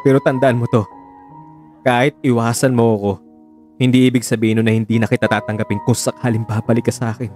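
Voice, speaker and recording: A man's voice: mid-range voice, little pitch movement, quick pace, darkish tone, soft background music, lightly acted. A man playing a heartbroken, insistent young lover in an emotional radio drama, pleading for the truth. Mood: hurt. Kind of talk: Tagalog radio drama acting.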